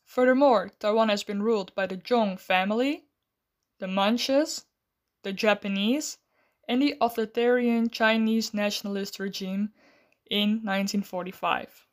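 A woman host giving a scripted, measured explanation and then asking interview questions. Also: medium pitch.

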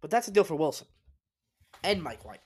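A man's voice: a little high, big pitch swings, a little fast, breathy edge. Congested man giving sports opinions. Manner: opinionated, emphatic, restarts often. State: congested, sniffling. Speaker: sports podcast host. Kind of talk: solo sports talk commentary.

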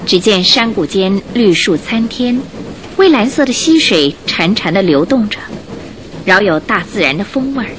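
A woman giving scripted, expressive storytelling narration for a Chinese radio drama in a measured manner. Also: medium pitch, noisy background.

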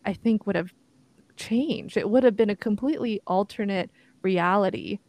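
A young woman born in Korea, co-hosting a casual podcast. She thinks out loud in a reflective, searching way, full of "like" and "you know." Her voice is mid-pitched and recorded dry and close. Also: moderate pace, no echo. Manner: reflective, thinks aloud with many likes and you-knows. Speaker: podcast co-host. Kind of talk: casual chat.

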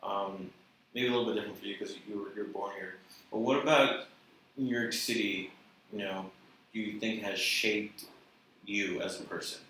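A man's voice: on the low side, normal speed. A man hosting a casual interview, loose and affirming, asking long rambling questions. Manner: relaxed, loose, affirming with "gotcha" and "mm-hmm". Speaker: interviewer host. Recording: echoey room, distant mic, light noise.

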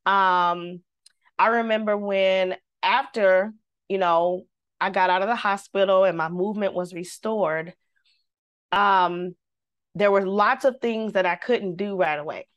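A woman, a singer, telling a personal story in casual conversation, lively and devout, acting out the voices she quotes. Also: mid-range voice, a little slow, clear, resonant tone, dull audio. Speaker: guest.

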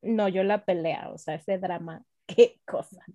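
A young woman, co-hosting a podcast in casual, excitable, gushing fan chat. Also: fairly low pitch, a little slow, no room sound, studio quality. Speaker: podcast co-host. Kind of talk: casual chat about racing.

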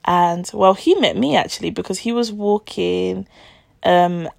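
A woman's voice: fairly low pitch, a little slow, dry recording, studio quality. A young woman, telling a personal story casually to her listeners on a podcast. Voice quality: gravelly vocal fry. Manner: chatty, confiding, addresses listeners as guys.

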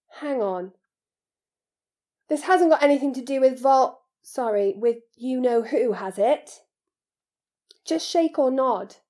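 Lady reading as anxious young schoolboy, kinda high soft-toned voice, earnest and hesitant, storybook. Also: animated, slow pace, long pauses. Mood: urgent, questioning.

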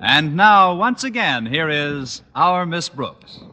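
A man's voice: on the high side, animated, takes it slowly, over music. A man announcing on 1940s radio with an emphatic, polished sales delivery. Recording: reverberant vintage radio recording with crowd.

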